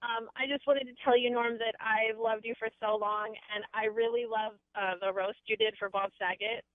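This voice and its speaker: A female caller with a fairly high voice comes through a thin, tinny phone line. She speaks eagerly and gushes like a devoted fan, dry and close. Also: level tone, normal speed, no room sound. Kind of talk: call-in to radio show.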